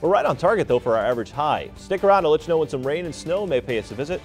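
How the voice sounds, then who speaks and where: A middle-aged man giving a chatty, brisk weather forecast in a TV studio. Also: fairly high pitch, expressive, faint music behind. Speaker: TV meteorologist.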